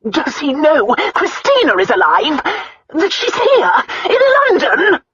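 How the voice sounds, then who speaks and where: Shrill, wailing countess aunt. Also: female voice, sing-song, fairly bright tone, lo-fi sound, a little hiss or hum. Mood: shocked anger. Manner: wailing, whining, indignant, drawn-out words. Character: shrill, self-pitying, greedy older aunt, a countess. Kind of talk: audiobook character acting.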